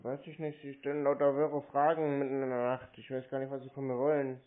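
A man's voice: mid-range voice, monotone, fast talker. A man with a mid-range voice talks casually on the phone. He is curt and blunt, grumbling his short replies, and the sound is narrow and tinny like a phone line. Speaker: prank scam-baiter.

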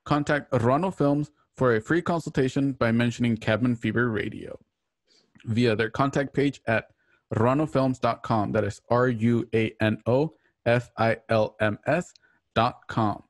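A man's voice: mid-range voice, unhurried, no room sound, clean studio sound. A man reading a scripted sponsor advertisement as an announcer. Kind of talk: scripted ad read.

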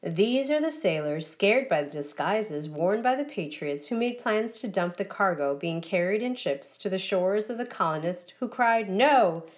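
A woman's voice: medium pitch, lively intonation, moderate pace, few pauses. Woman reading a children's picture book aloud. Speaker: storybook reader. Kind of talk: children's read-aloud, scripted narration.

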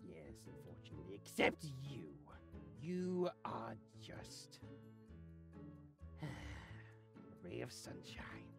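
A performer voicing a grumpy, greedy, scheming little creature in a comedy game series, dramatic and sulky, swinging from mutters to shouts. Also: mid-range voice, sing-song, normal speed, creaky, loud music behind the voice. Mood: grand, disdainful. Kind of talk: voice-acted comedy over gameplay.

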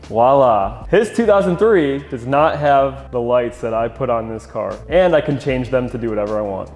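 A young man hosts a car video in a loose, chatty style. The room gives his voice a long reverb, with soft music underneath. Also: mid-range voice, lively intonation, moderate pace. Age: young adult. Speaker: video host and car owner. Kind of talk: casual chat to viewers. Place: large indoor detailing garage. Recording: echoey garage, faint music behind.

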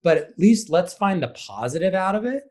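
A man's voice: high voice, very animated, normal speed, a little mellow. A man speaking as a podcast guest and theater director, giving casual, conversational answers.

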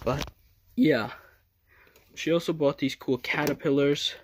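A man's voice: on the high side, steady tone, unhurried, slight background noise. A young man casually narrating his own home video as a vlogger. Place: indoors in a room at home. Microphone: handheld phone behind the camera.

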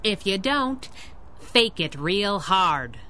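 A woman's voice: medium pitch, very animated, slow pace, bright, forceful tone, heavily acted. An actress playing a sassy, loyal young female sidekick in a 1930s-style radio drama, wry and wisecracking.

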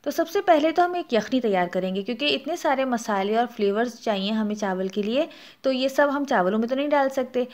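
Woman, Pakistani Urdu accent, cooking host, step-by-step recipe narration, slightly high voice. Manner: explanatory, instructive, gives practical tips.

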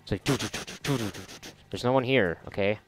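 Low-voiced man, wry gaming commentary. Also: quick pace, background noise. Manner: wry, sarcastic, rambling. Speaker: gamer commentating on a game playthrough. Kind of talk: casual, profane let's play commentary. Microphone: close mic, voice-over on gameplay.

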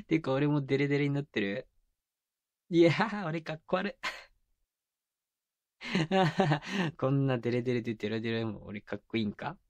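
A man's voice: medium pitch, animated, measured pace, pauses often, dry recording. Doting young boyfriend, close and dry, acted by a man. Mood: playful, affectionate. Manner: doting, teasing, coaxing baby talk. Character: doting young boyfriend soothing his sleepy partner. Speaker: voice actor. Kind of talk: intimate binaural ASMR boyfriend roleplay. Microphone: close binaural microphone, dry.

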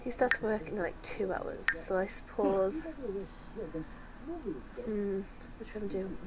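A woman's voice: mid-range voice, steady tone, unhurried, over a noisy crowd, rough recording. A woman with a cold, casually commenting on her gameplay and talking to her characters. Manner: chatty, talks to her game characters. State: sick with a cold. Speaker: let's play host.

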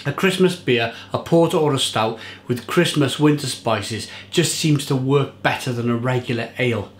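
A man's voice: mid-range voice, normal speed, roomy sound, a little hiss or hum. A man in his thirties chatting to camera at home in his kitchen, reviewing a beer with enthusiasm. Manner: chatty, enthusiastic, restarts and fillers. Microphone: fixed camera at table.

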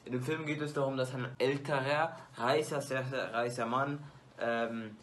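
A teenage boy talks straight to his desk webcam in a small bedroom glowing with colored lights. His voice is bright and mid-pitched, and he rambles chattily, stumbling through fillers and restarts. A faint hiss of room noise sits underneath. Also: fairly even intonation, moderate pace. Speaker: YouTuber. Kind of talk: casual movie-ranking vlog.

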